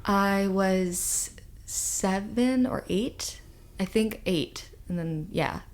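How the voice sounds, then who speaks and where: A woman's voice: mid-range voice, extremely slow. A young woman from California, a musician guest, talking in a casual, upbeat way with lots of filler words. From California, USA. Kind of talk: casual interview chat.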